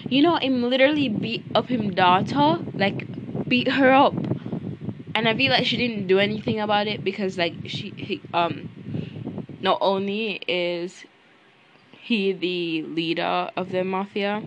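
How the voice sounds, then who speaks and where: A woman's voice: mid-range voice, unhurried, muffled recording, background noise. Chatty young woman with a fading voice. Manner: chatty, ranting, blunt. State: losing her voice, coughing. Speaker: book reviewer. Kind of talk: casual book review vlog.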